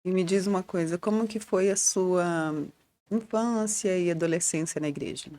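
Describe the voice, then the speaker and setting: A woman's voice: on the low side, normal speed, dark tone. A middle-aged woman with a Brazilian accent, co-hosting a podcast in a conversational style. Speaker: podcast co-host, pastor.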